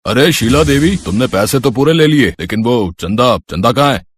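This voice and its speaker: A man playing a gruff, agitated lowlife in a crime racket, husky-voiced, in dramatic cartoon story style. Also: lively intonation, normal speed. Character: gruff, agitated male customer or henchman of crime boss.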